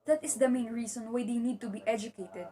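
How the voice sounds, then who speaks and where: A woman's voice: a little high, fast talker, warm tone, natural voice. A woman acting an earnest, determined young teacher in an amateur stage play. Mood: passionate.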